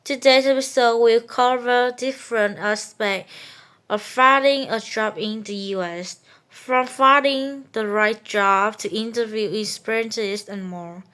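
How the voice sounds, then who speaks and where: A woman's voice: on the high side, measured pace, sharp tone, smooth. A woman hosting a podcast, reading a friendly, upbeat scripted introduction. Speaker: podcast host.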